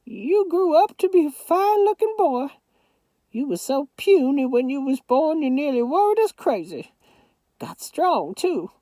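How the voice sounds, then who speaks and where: Bossy, booming old Southern grandmother, very high, gushing, tearful,, dry close sound. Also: big pitch swings, unhurried, no room sound. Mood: doting. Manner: shouting, weepy, domineering.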